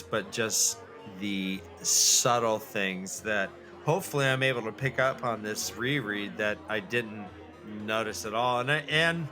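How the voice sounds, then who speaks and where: A man co-hosting a podcast, chatting casually and jokily in a rambling, halting way. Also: mid-range voice. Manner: jokey, rambling, halting delivery. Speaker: podcast co-host. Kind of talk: casual comedic banter and book chat.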